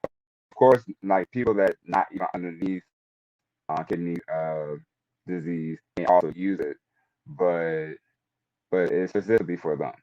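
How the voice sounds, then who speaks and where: A man with a very low voice speaks haltingly as an informal panelist, often restarting and repeating words. The sound is dry and close, somewhat dull and lo-fi. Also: mellow, dry recording. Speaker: panelist, product manager.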